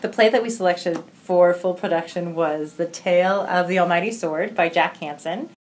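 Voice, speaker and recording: Lowish-voiced woman explaining a program. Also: normal speed, noisy background. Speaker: playwriting program organizer. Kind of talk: informal interview explanation.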